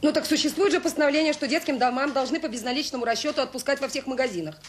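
An actress playing a warm, determined director of a children's home in a radio drama, brisk and plain-spoken with hesitant pauses. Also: female voice, high-pitched, lightly acted. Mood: insistent, indignant. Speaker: orphanage director. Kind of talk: naturalistic radio drama acting. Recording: noisy old radio play recording.

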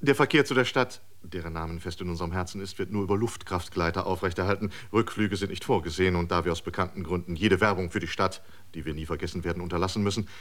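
A man's voice: low-pitched, quick pace, hardly any pauses, fairly bright tone. A man playing an officious, prying airfield manager in a science fiction radio drama, clipped, tacking on little questioning interjections. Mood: officious, probing.

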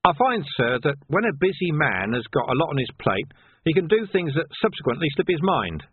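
A man playing a husky, tactful police sergeant. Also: fairly high pitch, very animated, dry recording, pitched above the performer's own voice. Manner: measured, politely coaxing. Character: tactful, shrewd male police sergeant, outwardly deferential. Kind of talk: audiobook character voice. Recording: narrow, phone-like band.

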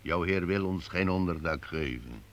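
An actor playing a learned travelling minstrel master in a radio play, courteous and dignified but commanding. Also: male voice, low voice, normal speed. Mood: firm.

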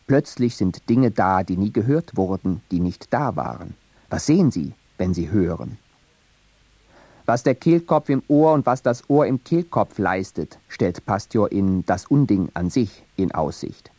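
A man reads an essayistic radio narration in a measured, reflective way. His voice sits low and sounds warm and dark. The studio sound is dry and close. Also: expressive, no room sound.

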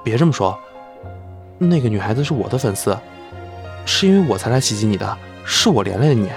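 A man's voice: lowish voice, very animated, a little fast, faint music behind. A man playing a devoted, shy young idol who speaks earnestly and hesitantly in an audio drama. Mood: self-blaming.

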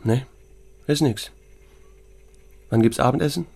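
A man's voice: mid-range voice, measured pace, vocal fry, darkish tone, breathy edge, no room sound. A man narrating an audiobook in the first person and acting out its dialogue in a dry, laconic, understated way. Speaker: audiobook narrator voicing a small-town sheriff's first-person story. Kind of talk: scripted narration with acted dialogue.

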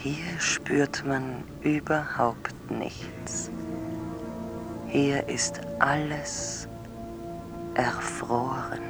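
An actress playing an eerie, insistent woman caller in a surreal radio drama, dreamy and halting, often dropping to a breathy whisper. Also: very deep voice, fairly even intonation, full character voice. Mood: dreamy, teasing. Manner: slow, halting, hypnotic, repeating herself. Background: music behind the voice.

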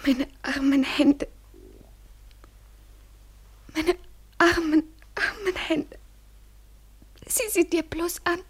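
An actress playing an anxious, vulnerable young woman in a 1970s German radio drama, speaking haltingly and often falling to a whisper. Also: very high voice, full character voice. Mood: plaintive, disgusted.

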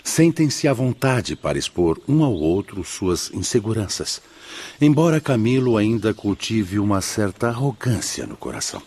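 An actor in a radio soap playing a thoughtful, kindly gentleman with a gentle, formal manner. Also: fairly low pitch, big pitch swings, moderate pace, breathy edge, heavily acted. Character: thoughtful, kindly brother of a noble doctor. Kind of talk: radio soap opera acting.

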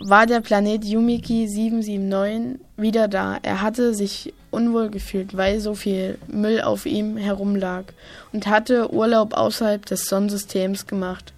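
A woman's voice: mid-range voice, little pitch movement, measured pace, a little mellow, soft background music. A woman reading scripted narration as the storyteller of a children's audio play.

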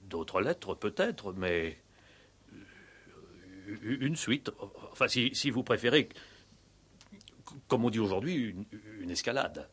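Nervous village mayor, swooping intonation, husky voice, hesitant stammer, self-important, vintage radio play. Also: male voice, on the low side, expressive, slow, long pauses, fairly bright tone, heavily acted. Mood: anxious, urgent. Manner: stammering, hesitant, repeating words. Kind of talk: 1960s French radio play acting.

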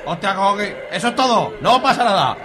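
An actor in a radio serial playing a brash, excitable young hippie boyfriend. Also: male voice, high voice, brisk, a crowd in the background. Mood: carefree, exuberant. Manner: brash, exclamatory. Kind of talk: audio drama acting.

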